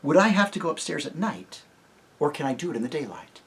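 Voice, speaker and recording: A reader voicing a sensible, brave young girl who speaks softly and earnestly. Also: mid-range voice, animated, a little fast. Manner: soft, quiet, earnest.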